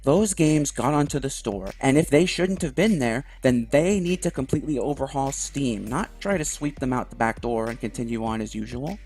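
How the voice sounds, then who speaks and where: A man's voice: medium pitch, animated, normal speed, hardly any pauses, darkish tone. A man giving conversational opinion commentary in an emphatic, wry manner with some sarcasm. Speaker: video commentator. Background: background music.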